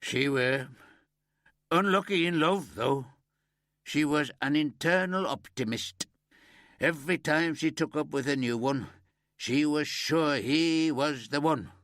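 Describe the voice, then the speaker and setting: A man voicing a grieving old ex-miner from Yorkshire who keeps birds, in a broad homely Yorkshire accent, pitched above his own narrating voice. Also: slow pace, no room sound, clean studio sound. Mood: wistful. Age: older. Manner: broad and homely, higher and warmer than the narrator.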